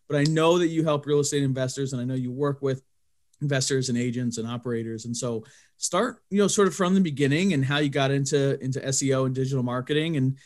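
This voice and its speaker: A man hosting a casual podcast interview, talking in a relaxed, friendly way with fillers. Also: mid-range voice. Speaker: podcast host.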